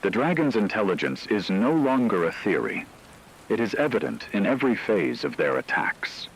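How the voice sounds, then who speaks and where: A man plays a grave radio news anchor with a low-pitched voice. He reads dramatic disaster reports in a formal, deliberate way. A faint rain-like noise sits behind his words. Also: big pitch swings, poor audio. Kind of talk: scripted dramatic news narration.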